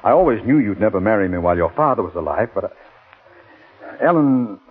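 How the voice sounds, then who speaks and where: Thin, narrow old radio sound frames a proud, jilted American politician. His low, husky voice is formal and stiff, with bitterness under it, in 1940s drama style. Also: lively intonation, a little fast. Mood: wounded, bitter. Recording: thin, band-limited 1940s radio recording.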